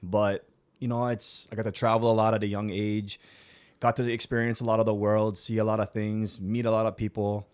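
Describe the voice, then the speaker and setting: A man's voice: lowish voice, flat delivery, brisk, a little mellow, clear, resonant tone. A man in his forties from Hawaii's Big Island, talking as a podcast guest in an earnest, rambling way. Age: 40s. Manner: earnest, appreciative, rambling with many "you know"s. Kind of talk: casual podcast chat.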